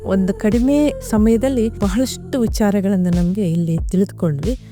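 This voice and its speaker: Woman, soft-edged mellow tone, testimonial delivery, music behind. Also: medium pitch, normal speed. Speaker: course participant. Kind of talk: spoken testimonial.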